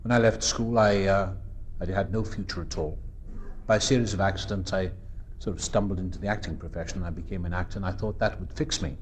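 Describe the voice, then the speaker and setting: A Welsh man in his fifties speaks from a podium microphone in a meeting room. His voice sits low and he talks earnestly and reflectively, pausing and restarting as he works through his thoughts. A faint hiss lies under the recording. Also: fairly even intonation. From South Wales. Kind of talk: personal recovery talk.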